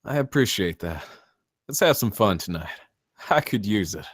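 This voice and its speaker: Man playing sheepish, low-voiced son on a date. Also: animated, moderate pace. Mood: wry, easygoing. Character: embarrassed, low-key adult son on a date. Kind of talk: table read of a film script.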